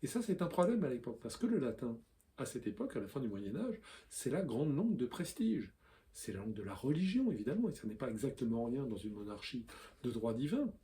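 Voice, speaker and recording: A man, a language columnist, explaining spelling to camera in a lively way, with fillers, spelling out letters and example words. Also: mid-range voice, brisk. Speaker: language columnist and video host.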